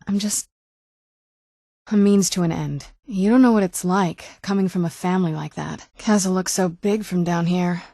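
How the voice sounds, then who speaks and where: A woman voicing a guarded, wounded young vampire noblewoman in a video game, with dry understatement and hesitant pauses. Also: lowish voice, creaky, mellow, dry recording. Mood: wistful, sad, then curious, matter-of-fact. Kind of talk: video game character dialogue. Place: dry studio recording.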